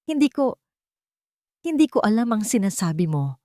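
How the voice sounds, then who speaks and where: Lady acting cornered lying woman on the stand, defensive, agitated, pitched up, dry audio drama sound. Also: very animated, normal speed, warm tone, no room sound, natural voice. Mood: nervous, defensive. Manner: agitated, protesting.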